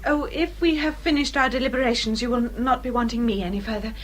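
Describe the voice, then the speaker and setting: A woman acts a gentle English gentlewoman in her twenties for a radio costume drama. Her voice is slightly high. She is well-spoken and earnest, holding her feelings in check. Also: fast, slight background noise, natural voice. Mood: polite. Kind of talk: radio period drama acting.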